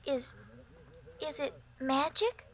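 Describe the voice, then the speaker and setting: A woman's voice: high voice, expressive, takes it slowly. An actress playing an impressionable, prim schoolgirl with precise childlike speech in an old-time radio drama. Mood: wary curiosity. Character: impressionable schoolgirl, turning eerily prim under hypnosis.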